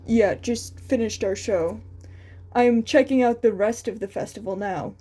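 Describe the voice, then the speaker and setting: A woman voicing a dry but caring teenage boy in a flat, deadpan delivery for a story reading. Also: fairly high pitch, measured pace, slightly rough. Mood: flat concern.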